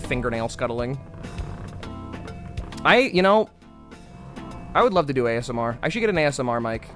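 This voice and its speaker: A man giving casual let's-play commentary in an excitable way, full of profanity and laughing asides. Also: mid-range voice, moderate pace, faint music behind. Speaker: game streamer.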